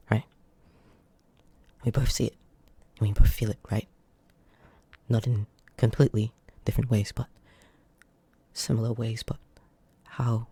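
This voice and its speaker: A man's voice: medium pitch, creaky, dark tone, slightly rough, pitched above the performer's own voice. A man putting on a stammering, uneasy alter ego. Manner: stammering, questioning. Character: hesitant, uneasy male alter ego of a captor. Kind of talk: ASMR roleplay.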